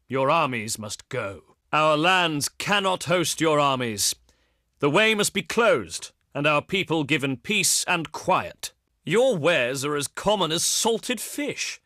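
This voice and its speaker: An actor playing a proud, pious ancient Greek diplomat in a strategy game, speaking in formal, theatrical oratory with haughty eloquence. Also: male voice, on the high side, pushed and bright, no echo, studio quality, heavily acted. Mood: stern, dismissive. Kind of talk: theatrical scripted game dialogue.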